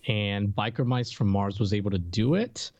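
A man's voice: on the low side, moderate pace, darkish tone, dry recording. A man co-hosting a podcast, talking casually and informally as he reviews old cartoons. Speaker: podcast co-host.

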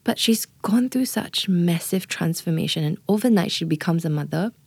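An earnest woman doula talking through birth and motherhood, pausing to ask "right?" as she goes. Her voice sits a touch low and is recorded dry and close, with no room sound. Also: lively intonation, vocal fry, darkish tone, breathy edge, no room sound. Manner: earnest, often checks in with "right?" and "you know". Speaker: doula, guest. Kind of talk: explanatory interview talk.

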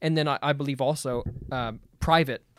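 A man debating as a guest, pushing back eagerly with quick stumbling restarts. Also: medium pitch. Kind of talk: debate conversation.

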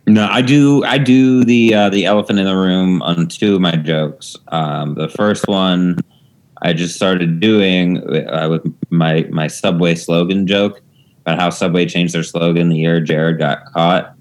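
A comedian man chatting on a podcast, hesitant and full of fillers. His voice sits low. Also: fairly even intonation, a little slow. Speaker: stand-up comedian. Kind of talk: casual podcast chat.

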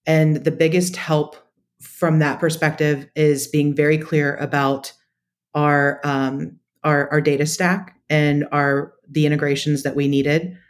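A woman's voice: low-pitched, level tone, a little slow. Methodical woman giving practical advice. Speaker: podcast guest, data practitioner. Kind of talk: conversational advice in an interview.

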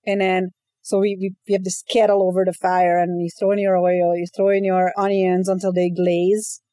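A woman originally from Hungary, a guest on a casual interview podcast, explaining things step by step in a lively way. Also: fairly low pitch, moderate pace, no echo, clean studio sound. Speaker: guest, brand agency co-founder.

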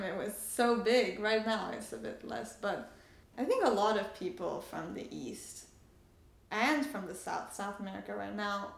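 A young woman, a native Farsi speaker who grew up in Canada and France, talks in a roomy, echoing space. She explains herself conversationally and articulately as an interviewee, at a medium pitch. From Canada and France, Iranian family. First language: Persian (Farsi). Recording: echoey room.

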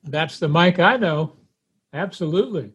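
Husky older man, slightly high voice. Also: animated, moderate pace, fairly bright tone, lo-fi sound. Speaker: podcast host, interviewer. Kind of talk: conversational interview.

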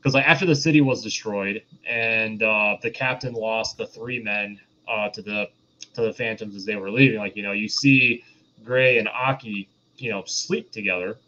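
A man's voice: fairly low pitch, moderate pace, bright, forceful tone. Man on a dull lo-fi mic. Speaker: podcast co-host reviewing films. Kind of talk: casual review discussion. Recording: dull, lo-fi voice audio.

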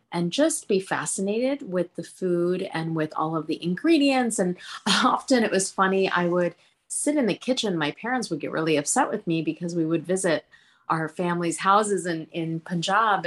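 Give me a woman guest on a podcast with a slightly low voice, telling stories in a lively, chatty way. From India, raised in Pennsylvania. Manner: chatty and animated, with fillers and laughs. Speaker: guest, cookbook author. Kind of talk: storytelling interview answers.

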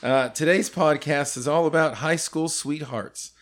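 A man's voice: fairly high pitch, unhurried. Jokey middle-aged man hosting a podcast. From California. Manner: jokey, loose, laughs often. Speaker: podcast host. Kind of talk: casual podcast chat.

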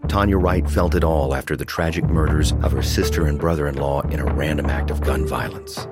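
A man announcing a scripted dramatic trailer in a measured, ominous way over music. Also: a bass voice. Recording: music bed under the voice. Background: loud music.

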